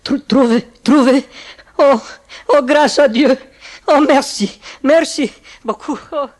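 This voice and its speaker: A woman's voice: high voice, a little slow, a little mellow. A woman playing an emotional, grateful Russian exile man, stammering with feeling in an audiobook drama. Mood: overcome, grateful.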